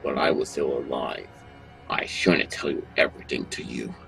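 A man acting an evasive, sinister restaurant owner with halting delivery in an amateur horror audio drama. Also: very deep voice, vocal fry, a bit bright, soft background music, exaggerated voice. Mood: evasive, guarded. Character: evasive, sinister male animatronic restaurant owner hiding murders.

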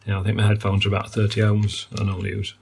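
Gravelly older man at a workbench. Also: fairly low pitch, completely flat delivery, very fast, a little mellow, a little hiss or hum. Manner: musing, technical, many ums and uhs. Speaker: electronics repair hobbyist. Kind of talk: hands-on repair walkthrough. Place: workbench indoors.

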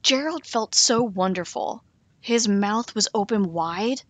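A woman reading a rhyming picture book aloud for children, slow and expressive with a sing-song lilt. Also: mid-range voice, no echo. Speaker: storytime reader.

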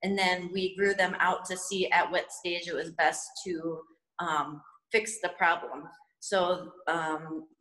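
A woman in her forties explains a working facility in an informal, matter-of-fact way. Her voice sits a little low. The hard-walled room adds a strong echo to the phone recording. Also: fairly bright tone. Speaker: research facility staff guide. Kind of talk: explanatory virtual facility tour. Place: indoor tiled surgery and recovery rooms. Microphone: handheld phone a few feet away.

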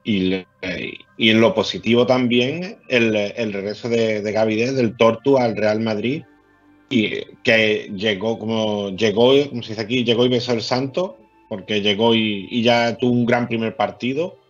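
Measured man on a dull call. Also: lowish voice, steady tone, soft background music. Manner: measured, slight hesitations. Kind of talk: casual sports discussion. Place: small room at home. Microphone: headset on a video call. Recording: dull lo-fi video call audio.

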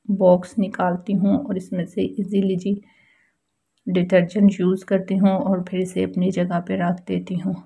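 A woman's voice: medium pitch, vocal fry, warm tone. A woman with a Pakistani accent giving a casual how-to voice-over as a home vlogger, explaining things politely and repetitively.

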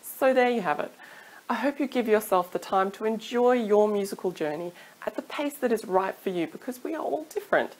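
A woman's voice: medium pitch, expressive, fairly quick, a little mellow, rough recording. A woman in her thirties, a singing teacher giving chatty, encouraging advice to camera from a small room at home, laughing now and then. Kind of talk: casual advice vlog to camera. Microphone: studio mic off camera.

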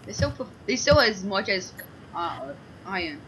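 A man's voice: sits high, sing-song, unhurried. A teenage boy chatting casually as he plays a video game with a friend. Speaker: gaming co-player. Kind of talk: casual gameplay chat. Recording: noisy voice chat with clicks.

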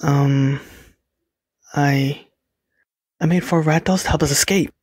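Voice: mid-range voice, steady tone, slow pace, no room sound. A performer playing an anxious young ward in an audio drama, hesitant and questioning.

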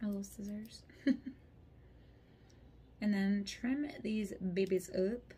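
A woman's voice: medium pitch, takes it slowly, dark tone, slight background noise. A woman in her thirties, a little congested, chatting and giggling casually as she does a makeup tutorial at home, close to the camera. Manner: chatty, giggly, talks while applying makeup. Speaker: beauty vlogger. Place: small room at home, indoors. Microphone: camera close in front.

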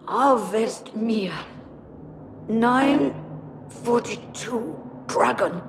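An actress playing a sick, doomed prisoner in a video game, halting and laboured in her grave speech. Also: on the high side. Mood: grave warning. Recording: clear ambient noise behind.

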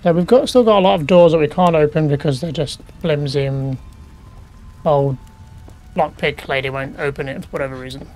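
A man's voice: a little high, fairly quick, darkish tone, a little hiss or hum. A man casually commenting as he plays a horror game, muttering questions to himself as he explores. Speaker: gaming YouTuber. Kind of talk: casual let's play commentary.

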